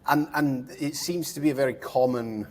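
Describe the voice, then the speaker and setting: A man's voice: mid-range voice, moderate pace. Male interview host; informal, filler-heavy, rambling questions. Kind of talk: casual podcast interview.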